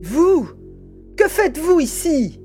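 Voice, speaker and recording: Villainess played by a woman: very high, swooping voice, defiant boss of a secret band in radio sci-fi. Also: sing-song, slow pace, dark tone, faint music behind, heavily acted. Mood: startled, defiant. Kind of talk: audio drama acting.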